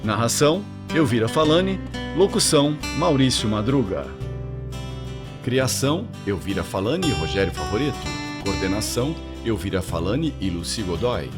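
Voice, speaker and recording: A Brazilian man delivers a scripted radio announcement. His mid-pitched voice moves in lively swings over clear plucked guitar music. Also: moderate pace.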